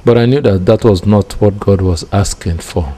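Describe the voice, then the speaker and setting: A middle-aged Nigerian man with a Nigerian accent, a preacher giving personal testimony deliberately, phrase by phrase. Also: on the low side, warm tone. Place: indoor room with curtains. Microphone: microphone close in front. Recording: clear background noise.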